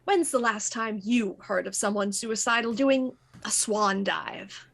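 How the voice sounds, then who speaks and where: An actress playing a shrewd, composed police inspector in a radio murder mystery, deliberate and probing. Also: female voice, mid-range voice, expressive, vocal fry. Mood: firm.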